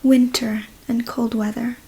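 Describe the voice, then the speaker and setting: A woman reading a story as ASMR in a soft, breathy whisper, hushed and deliberate. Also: fairly high pitch, flat delivery, poor audio. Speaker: ASMR reader.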